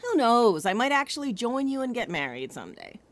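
Animated, high-voiced young woman. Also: brisk, a little hiss or hum. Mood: hopeful. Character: excitable young woman, hopeful, teasing, newly dating. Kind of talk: comedic audio drama acting.

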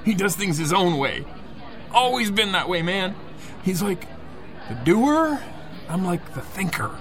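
A man's voice: sits high, sing-song, fairly bright tone, faint music behind, some chatter behind, full character voice. A man playing a superhero's loyal best pal, rambling earnestly and casually in a comedic audio drama. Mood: proud, earnest. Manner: halting, casual, earnest dimwitted musing. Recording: faint outdoor street noise.

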